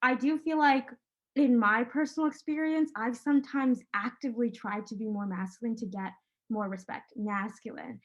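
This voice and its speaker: Teen girl with a high voice, chatty and full of "like"s, leading the panel, sound a bit dull and lo-fi. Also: steady tone, moderate pace. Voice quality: high-pitched voice. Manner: chatty, many fillers. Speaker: student moderator. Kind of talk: casual panel discussion.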